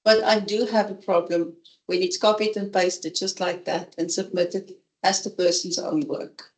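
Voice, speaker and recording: A woman's voice: fairly low pitch, fairly even intonation, measured pace. A woman professor speaking candidly and conversationally at a seminar, sharing her opinions openly. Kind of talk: seminar talk. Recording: echoey conference room.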